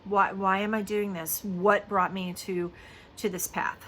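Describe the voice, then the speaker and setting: A woman in her fifties talks straight to the camera in a bright, forward voice, chatty and confiding, her speech full of fillers. A faint hiss of background noise sits under her voice. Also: mid-range voice, little pitch movement, unhurried. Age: 50s. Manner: chatty and confiding, many fillers like "you know" and "um". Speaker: lactation consultant. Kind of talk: casual personal vlog talk.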